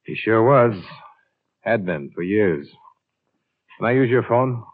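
Cool-headed insurance investigator, American, clipped and pressing, mid-century radio style, narrow old broadcast sound. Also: male voice, fairly low pitch, animated, slow. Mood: dry, insistent. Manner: clipped, dry, pressing questioning. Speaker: lead actor and first-person narrator. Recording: thin band-limited vintage radio recording.

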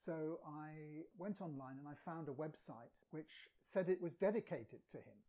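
A man's voice: a little high, moderate pace, dark tone. A man who grew up partly in England, chatting as a guest poet and translator, telling anecdotes and laughing now and then. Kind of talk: casual storytelling.

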